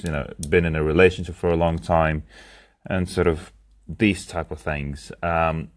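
A man's voice: low voice, flat delivery, normal speed. A young man from Lithuania, speaking English as a second language, talks through his plans in a reflective personal diary monologue, hesitating often with ums and restarts. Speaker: podcast host and founder.

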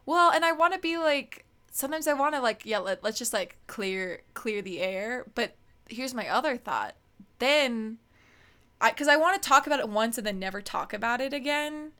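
A young woman in her twenties chatting on a podcast, with a fairly high voice. She talks in a loose, giggly way, full of "like" and restarts. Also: expressive, normal speed, bright, forceful tone. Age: 20s. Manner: chatty, giggly, lots of fillers. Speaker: podcast co-host. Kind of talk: casual chat.

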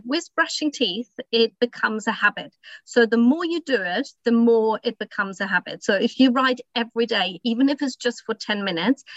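A woman's voice: on the high side, fairly bright tone, no room sound, dull audio. A woman whose first language is German, speaking English as a writing coach on a podcast, giving encouraging, practical advice with frequent ums. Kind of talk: casual podcast chat.

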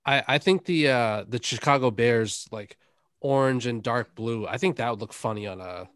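A man's voice, very dry and free of room sound, at an average pitch. He co-hosts casual sports banter and talks bluntly, with some swearing. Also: moderate pace, a bit bright, no room sound. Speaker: sports podcast co-host.

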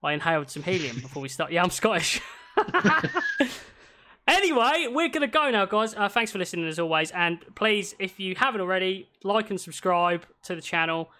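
A man hosts a laid-back podcast from home, talking in loose, rambling runs full of restarts and cheerful swearing. His voice sits high and sounds bright and sharp. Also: expressive, brisk. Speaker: podcast host. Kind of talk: casual podcast chat. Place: at home, recording over a video call.

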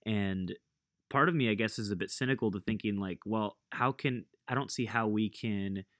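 A male host with a lowish voice asks his questions in a thoughtful, hesitant manner, often pausing to find his words. His sound is dry and close, with no room around it. He is talking over a remote call. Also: fairly bright tone, no room sound. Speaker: podcast host. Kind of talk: casual interview conversation.

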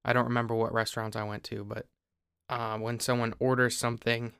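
A young man from Ohio, host of a podcast, explaining things in a relaxed, casual way with small restarts. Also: mid-range voice, a little fast, smooth, no room sound, studio quality. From Ohio, USA.

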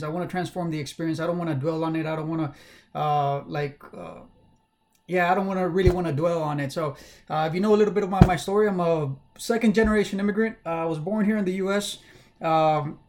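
Young man from South Texas, livestream host, halting, fillers and restarts, fairly high voice. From South Texas, USA. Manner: candid, personal. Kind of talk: casual livestream monologue.

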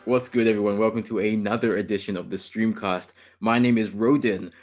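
A man's voice: lowish voice, fairly even intonation, a little fast, a little mellow. A man hosting a casual gaming podcast, enthusiastic and opinionated, laughing often. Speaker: podcast host.

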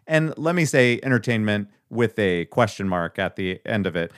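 A man's voice: fairly low pitch, expressive, moderate pace, no echo, clean studio sound. A man co-hosting a podcast in casual chat, laid-back, laughing often and swearing casually. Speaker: podcast co-host.

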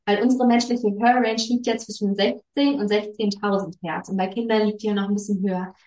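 A woman's voice: fairly high pitch, darkish tone. Enthusiastic woman host in a roomy space. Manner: enthusiastic, halting, with many restarts. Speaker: podcast host. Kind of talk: casual podcast conversation. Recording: roomy echo.

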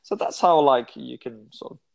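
A man's voice: mid-range voice, moderate pace, gravelly. A man in his twenties, a guest in a casual interview, talking in a rambling way with many fillers and restarts. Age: 20s.

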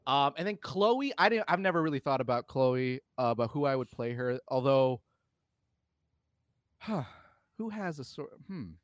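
A man co-hosting a podcast, chatting casually about films in an excitable, slangy and casually profane way. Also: medium pitch, normal speed, no echo. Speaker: podcast co-host. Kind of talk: casual film chat.

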